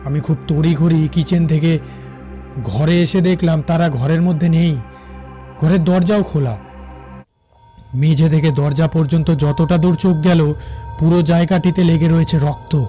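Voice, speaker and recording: A railway officer played by a man tells his own ghost story for a horror cartoon. His fairly high voice is suspenseful and halting, repeating words for dramatic effect. Music runs clearly behind him. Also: fairly even intonation, mellow, lightly acted. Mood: fearful, suspenseful.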